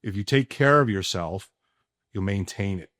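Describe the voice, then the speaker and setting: A man hosting a casual sports podcast, speaking emphatically with deliberate pauses. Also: lowish voice, animated, fairly bright tone, no room sound, clean studio sound. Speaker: podcast host. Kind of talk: casual sports podcast talk and personal ad read.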